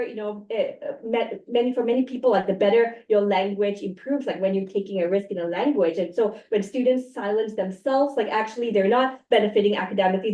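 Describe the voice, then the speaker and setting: A woman's voice: medium pitch, mellow. A woman in her thirties speaking as a panelist in an academic discussion, thoughtful and full of fillers and restarts. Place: university event room. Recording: echoey room, far from mic.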